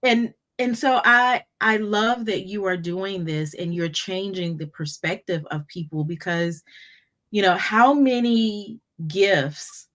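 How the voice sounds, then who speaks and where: A woman in her fifties hosting a conversation, enthusiastic and stumbling over repeated words when excited. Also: medium pitch, takes it slowly. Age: 50s. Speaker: podcast host, business coach. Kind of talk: casual conversation.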